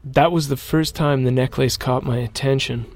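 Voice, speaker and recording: A man playing a rookie mech pilot, narrating his own story in a measured, reflective way in an audio drama. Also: medium pitch, fairly even intonation, darkish tone, no echo, close to an everyday voice. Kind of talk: scripted first-person audio drama narration.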